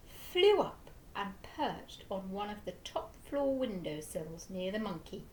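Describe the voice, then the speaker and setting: A woman teacher reading a children's story aloud with slow, expressive storytelling. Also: medium pitch, slight background noise, rough recording.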